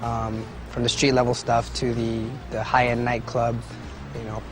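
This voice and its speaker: A man talks in a very even, monotone voice, laying things out plainly at a middle pitch. Music plays under him. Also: moderate pace. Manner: matter-of-fact, explanatory. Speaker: interviewee commentator. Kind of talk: interview. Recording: over score music.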